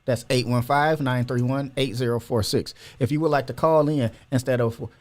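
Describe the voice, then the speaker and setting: A man's voice: medium pitch, fairly even intonation, normal speed, darkish tone. Guy hosting a chill podcast, rambling, slangy, opinionated. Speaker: podcast host. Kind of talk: casual podcast chat.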